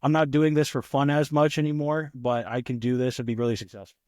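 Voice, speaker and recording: Young male host, casual chat, mid-pitched voice, dry close sound, long meandering questions. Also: flat delivery, fast, darkish tone, no room sound. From Nebraska, United States. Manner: rambling, heavy use of "like". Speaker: podcast host.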